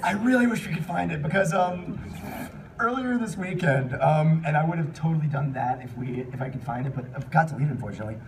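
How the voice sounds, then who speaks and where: High-voiced man hosting, distant noisy mic. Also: fast. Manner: animated, jokey, informal. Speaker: panel host. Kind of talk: casual live convention panel chat. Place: convention panel room.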